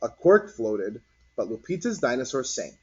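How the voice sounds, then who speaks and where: A man reading a children's picture book aloud as narrator, in an expressive, deliberate storytelling manner. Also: mid-range voice, breathy edge. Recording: dull lo-fi recording.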